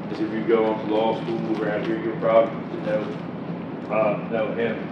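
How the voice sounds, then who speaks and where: A man's voice: fairly low pitch, moderate pace, heavy background noise. Froggy-voiced man telling a story. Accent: Maryland. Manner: folksy, animated storyteller. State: froggy voice after bronchitis. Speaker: guest speaker. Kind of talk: storytelling lecture. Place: university lecture hall. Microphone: far room mic. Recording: distant, muffled, echoey hall recording.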